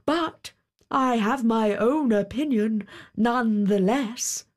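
A woman voicing a pompous storybook king who makes deliberate proclamations as judge and father. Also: on the high side. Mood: regal, pronouncing. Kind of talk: storybook character voice.

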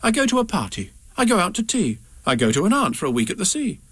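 Man voicing a little put-upon girl, sing-song verse, raised pitch, lively, dry close sound. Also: fast talker, no room sound, exaggerated voice. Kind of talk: poetry recitation.

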